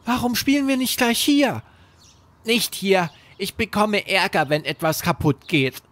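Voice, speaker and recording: Young boy , acted by a man: high, slow, simple earnest talk, a little worried, bedtime audio story. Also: fairly bright tone, no room sound. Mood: matter-of-fact.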